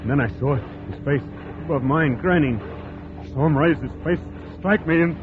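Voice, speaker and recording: An actor playing an uneasy, haunted man who narrates and speaks haltingly in a 1940s radio suspense drama. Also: on the high side, phone-quality sound, lightly acted. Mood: tense dread. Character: uneasy man narrating his brush with death, Stan. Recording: thin vintage radio recording with music.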